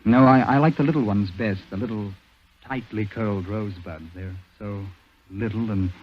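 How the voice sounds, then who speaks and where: An actor playing an odd, lonely man who talks haltingly, in 1940s radio drama style. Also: on the low side, lightly acted. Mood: shy, wistful. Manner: halting, soft-spoken, repeats himself. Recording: muffled vintage radio recording with music.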